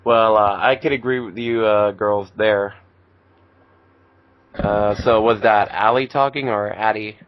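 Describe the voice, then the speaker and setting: A man's voice: on the low side, steady tone, unhurried, muffled recording. A man hosting and narrating an amateur fan fiction reading informally, with casual asides and stumbles. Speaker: narrator and host.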